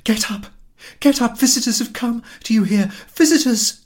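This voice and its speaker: A man's voice: very high voice, normal speed, pitched above the performer's own voice. A man voicing a frantic young wife in a story, urgent, nagging and exclamatory as she rouses her husband. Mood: urgent shouting.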